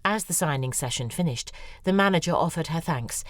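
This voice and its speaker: Dry sardonic lady novelist narrating, low voice, swoops around a lot. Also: expressive, brisk, no room sound. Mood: wry. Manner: sardonic, weary. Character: acerbic, weary female novelist. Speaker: narrator and lead character, a novelist. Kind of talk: scripted first-person audio drama narration.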